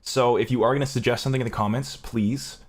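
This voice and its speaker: A man's voice: mid-range voice, fairly even intonation, quick pace. A man from Canada talking casually and earnestly to his viewers as a gaming content creator, rambling and swearing freely. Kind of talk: casual, unscripted talk to viewers.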